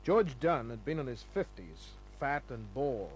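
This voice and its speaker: An actor playing a hard-boiled private detective, laconic, breezy and sardonic, in the manner of old-time radio drama. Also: male voice, medium pitch, very animated, unhurried. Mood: dry narrating. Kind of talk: old-time radio acting and first-person narration. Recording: noisy humming old radio recording.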